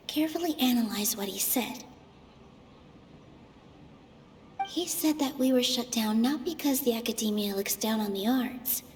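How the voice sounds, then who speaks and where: A woman's voice: on the high side, background noise. A woman voicing an earnest young female dancer who argues passionately for her theater. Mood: calming. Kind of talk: game voice acting.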